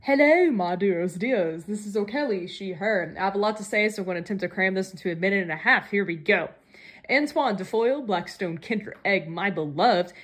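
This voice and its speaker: A woman delivering a prepared fan theory message in a playfully theatrical, mock-dramatic manner. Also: medium pitch. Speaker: podcast listener sending a voice message.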